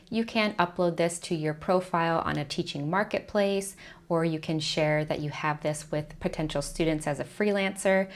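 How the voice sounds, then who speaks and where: A young adult woman coaching online teachers, giving clear, step-by-step advice straight to the camera. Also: on the low side. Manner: clear, explanatory, list-like delivery. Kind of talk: scripted advice video to camera.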